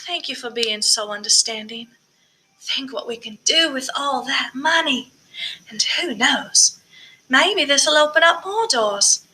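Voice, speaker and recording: A woman's voice: sits high, animated, measured pace, sharp tone, slight background noise, exaggerated voice, poor audio. A woman voicing a cheerful, hopeful, playful mother in an audiobook story.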